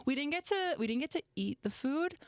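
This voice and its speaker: A woman's voice: sits high, expressive, fairly quick, no echo. A young woman whose first language is Romanian, chatting casually as an interview guest with lots of fillers. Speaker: sports media guest.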